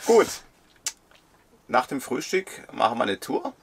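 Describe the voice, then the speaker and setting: A man's voice: mid-range voice, unhurried, creaky, slight background noise. A man in his fifties chatting casually inside a camper van and narrating a travel vlog. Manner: relaxed, chatty, agrees often. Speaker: travel vlogger. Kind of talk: casual chat and voice-over narration.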